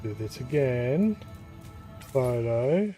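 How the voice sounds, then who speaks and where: A man's voice: mid-range voice, big pitch swings, very slow, warm tone, soft background music. A man doing casual live commentary as he plays a game, muttering to himself with mild frustration. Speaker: game streamer.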